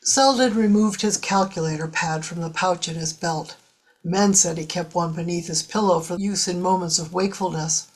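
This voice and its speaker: A woman's voice: fairly low pitch, moderate pace. A woman reading scripted narration for an audio drama.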